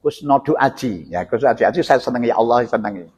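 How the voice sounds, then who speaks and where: A man's voice: mid-range voice, lively intonation, brisk, darkish tone, breathy edge. A man with a Javanese accent giving an informal mosque sermon, explaining scripture in a didactic way with rhetorical questions and check-in tags. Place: inside a mosque. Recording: reverberant hall sound.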